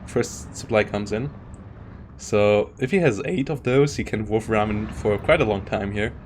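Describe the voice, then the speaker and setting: A man's voice: lowish voice, moderate pace, a little hiss or hum. A man commentating a live strategy game tournament, talking in loose, self-interrupting bursts. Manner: rambling, self-interrupting, frequent restarts. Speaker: esports caster.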